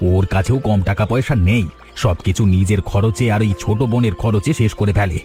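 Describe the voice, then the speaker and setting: A man's voice: fairly low pitch, steady tone, fairly quick, over music, close to an everyday voice. A man voicing a selfish, defiant grown younger brother in a village cartoon, whining and demanding in an insolent way. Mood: sulky. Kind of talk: melodramatic cartoon voice acting.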